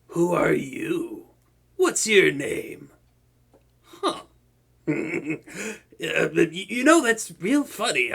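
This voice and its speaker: A young man voicing a boastful but soft-hearted male supervillain in a comedic audio roleplay, theatrical and stammering when flustered. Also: on the high side, gravelly, slightly rough, exaggerated voice. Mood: wistful.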